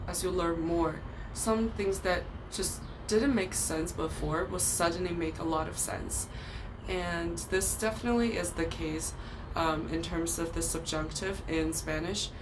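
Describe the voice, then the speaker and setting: A woman's voice: lowish voice, steady tone, unhurried, background noise. A young woman in her twenties with a Chinese accent, whose first language is Chinese, earnestly explaining language-learning tips to camera in a casual vlog, with occasional ums and restarts. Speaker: language vlogger. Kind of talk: casual talking-head vlog. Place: outdoors by a brick wall.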